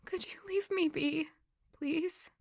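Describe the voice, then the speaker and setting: Fragile, high-voiced grieving young woman. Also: darkish tone, no echo, studio quality, full character voice. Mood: withdrawn, tired. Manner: emotive, halting, theatrical. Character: grieving young woman, fragile, self-blaming castle servant. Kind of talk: dramatic audio drama monologue and narration. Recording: music under the voice. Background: music.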